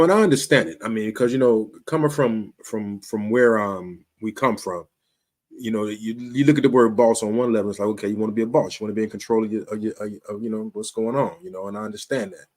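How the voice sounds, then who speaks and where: A Chicago man in his fifties talks to his viewers in a loose, conversational way. His voice sits a little low, and he circles back, repeats himself and sprinkles in street slang as he works through his point. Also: darkish tone. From Chicago, USA. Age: 50s. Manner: laid-back street slang, frequent "you dig?" and restarts. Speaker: show host. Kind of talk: casual talk to camera.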